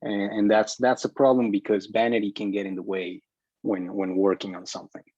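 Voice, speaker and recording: A man with a fairly low voice, recorded dry and close. He talks in a casual group discussion as a filmmaker, stating opinions firmly while stumbling over and repeating words. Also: level tone, fairly quick, darkish tone, dry recording, dull audio.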